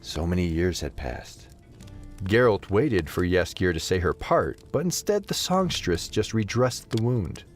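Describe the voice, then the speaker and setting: A man narrating a scripted fantasy story as an expressive audio drama narrator. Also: low voice, a little slow, faint music behind.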